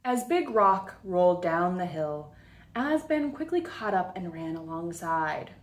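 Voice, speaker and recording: A woman reading a storybook aloud to children, expressive and deliberate, with little asides to the listeners. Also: on the low side. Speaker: children's story time reader.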